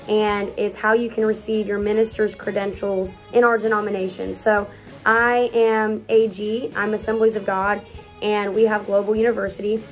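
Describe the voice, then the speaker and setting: Chatty young woman in her early twenties. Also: mid-range voice. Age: early 20s. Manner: chatty and upbeat, talks with lots of hand gestures. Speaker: youth pastor. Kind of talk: casual Q&A vlog to camera. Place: small home room indoors.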